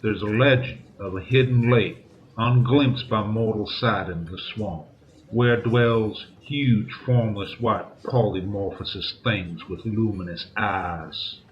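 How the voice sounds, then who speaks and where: A man playing a grave, courteous police inspector with a formal, deliberate manner in an old-time radio drama. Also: lowish voice, highs cut off, a little hiss or hum. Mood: ominous.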